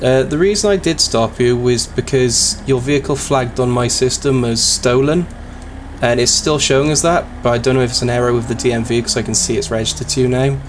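A man with a slightly raspy voice and sore throat, calmly roleplaying a sheriff's deputy in an easygoing, polite way. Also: medium pitch, fairly quick, slight background noise. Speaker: streamer playing a sheriff's deputy. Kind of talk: casual roleplay chat.

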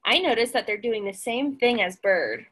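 A woman's voice: on the high side, animated, moderate pace, a bit bright, breathy edge. A woman in her twenties reading a picture book aloud to young children, expressively and with questions for them.

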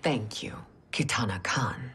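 A woman playing a regal, scheming, middle-aged queen mother in a video game, speaking in a measured, formal, haughty way. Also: very deep voice, a bit bright, whispered. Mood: solemn, dignified. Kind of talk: dramatic video game cutscene acting.

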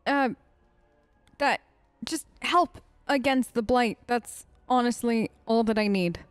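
A woman's voice: a little high, slow pace, clean tone, no echo, faint music behind. A woman improvising in-character commentary for a role-play let's play, conversational and hesitant as she thinks aloud.

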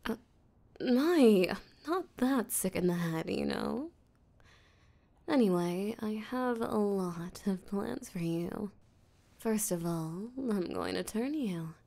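Slow, creaky, seductive vampire voiced by a woman. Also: medium pitch, lively intonation, dark tone. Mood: possessive, amused. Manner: breathy, teasing, flirtatious, slow and deliberate. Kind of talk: intimate roleplay audio drama, spoken to the listener.

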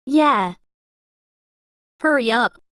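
A woman's voice: fairly high pitch, lively intonation, drawn-out words, a bit bright, dry recording, studio quality, exaggerated voice. A woman voicing a bossy, practical young girl in a game roleplay, reading short lines plainly. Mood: impatient. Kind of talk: scripted game roleplay dialogue.

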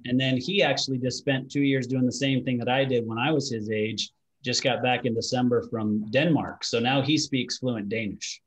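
A man in his forties who grew up in Utah, hosting a business interview over a video call, friendly and conversational, with plenty of "you know" fillers. His voice sits at an ordinary mid pitch. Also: fairly even intonation, normal speed, breathy edge, poor audio. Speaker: podcast host. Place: home office.

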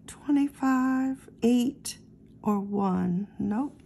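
A woman hosting a scratch-off ticket vlog, calmly reading out numbers one by one as she scratches. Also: on the high side, extremely slow, creaky, a little hiss or hum. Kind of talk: casual talk while scratching lottery tickets.

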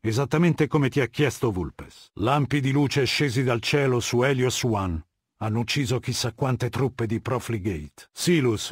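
A man acts out a middle-aged warlord for a video game, imperious and ruthless. His male voice sits at a medium pitch and is measured, commanding and full of scorn. The sound is very dry, with no room around it. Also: slightly rough, no room sound, close to an everyday voice. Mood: stern command. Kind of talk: dramatic game dubbing, scripted dialogue.

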